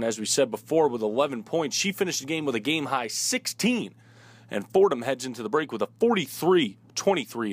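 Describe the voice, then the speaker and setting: A man announcing sports highlights in a play-by-play commentary style.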